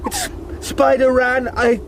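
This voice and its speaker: Terrified, breathless young man, halting. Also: very high-pitched, huge pitch swings, background noise, heavily acted. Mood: terrified, dazed. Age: young adult. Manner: halting, broken phrases. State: distressed, breathless, near crying. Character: terrified, half-drowned young male solicitor. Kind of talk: radio drama acting.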